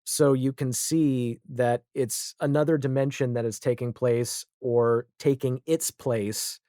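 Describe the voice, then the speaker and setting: A man's voice: medium pitch, steady tone, unhurried, dark tone, dry recording, studio quality. A man chatting casually as an interview guest, wry and opinionated. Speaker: podcast guest, audio drama creator. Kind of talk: casual interview chat about television.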